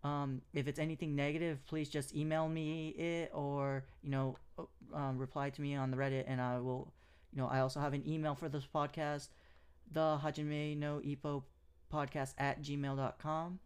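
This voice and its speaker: A man's voice: a little high, little pitch movement, normal speed, clear, resonant tone. A man hosting his own podcast, talking casually with lots of ums and restarts as he recaps and gives his views. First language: English. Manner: casual, many fillers and restarts. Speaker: podcast host. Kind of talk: solo podcast recap and analysis.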